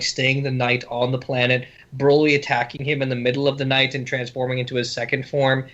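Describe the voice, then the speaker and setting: A man co-hosting a podcast in a casual fan discussion, recorded with slightly dull audio. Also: mid-range voice. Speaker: podcast co-host.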